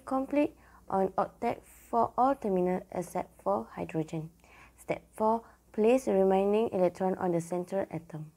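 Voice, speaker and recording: Young female tutorial narrator, lowish husky voice, careful scripted read. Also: dark tone. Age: young adult. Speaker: student presenter.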